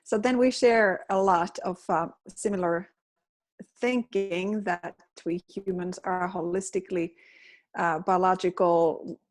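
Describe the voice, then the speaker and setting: A woman's voice: lowish voice, fairly even intonation, unhurried. A woman with a Finnish accent, a guest in a conversational interview, who often adds short agreeing remarks. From Finland. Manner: agreeable, with brief affirmations. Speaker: guest, sexuality coach.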